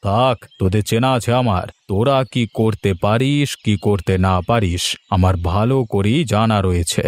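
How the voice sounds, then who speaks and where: A man's voice: fairly low pitch, moderate pace, a little mellow, natural voice. A man voicing a haughty, miserly rich village bully in a cartoon, contemptuous and threatening, with music behind. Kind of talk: cartoon voice acting.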